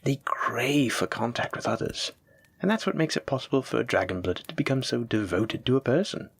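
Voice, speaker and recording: A man playing a doting, gently possessive dragon-blooded librarian, speaking tenderly and coaxingly to his sweetheart in an intimate audio roleplay. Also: medium pitch, fairly quick, vocal fry, slightly rough, dry recording. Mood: explaining, affectionate. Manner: tender, coaxing, affectionate, reassuring. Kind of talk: intimate scripted audio roleplay addressed to the listener.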